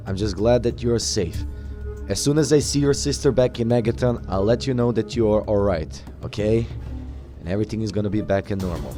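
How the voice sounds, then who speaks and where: A man roleplaying his video game character aloud, speaking in a halting, polite and dramatic way. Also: fairly low pitch, dark tone. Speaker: gamer voicing his player character. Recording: game music behind the voice.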